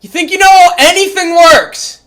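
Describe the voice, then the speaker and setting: A performer voicing a short-tempered, foul-mouthed cat who reads a spiritual book aloud, going from calm, measured reading to yelled, swearing rants. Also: very high-pitched, low-quality recording. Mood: ranting.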